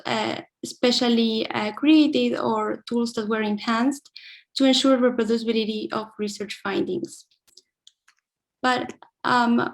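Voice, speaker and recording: A woman's voice: a little high, a little mellow. A young woman from Argentina giving an academic keynote talk, careful and thoughtful, with frequent fillers and restarts. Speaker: invited keynote speaker.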